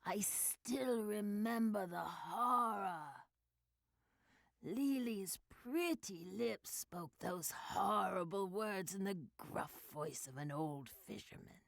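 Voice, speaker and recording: Woman voicing a creaky, theatrical female sea spirit storyteller. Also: medium pitch, no echo, studio quality. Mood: horrified. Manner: theatrical, drawn-out, swinging from whispers to loud swells. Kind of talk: dramatic storytelling, amateur game fan dub.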